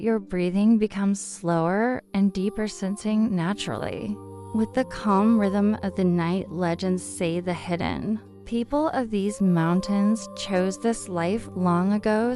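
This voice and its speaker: A woman narrating a guided sleep story in a calm, soothing, unhurried manner. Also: mid-range voice. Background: background music.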